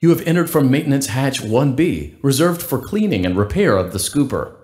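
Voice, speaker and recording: A man playing a blandly polite, cheerful robotic workplace guide in a game, reading scripted announcements with upbeat corporate formality and deadpan delivery. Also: mid-range voice, a little fast, roomy sound, close to an everyday voice. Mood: formal warning.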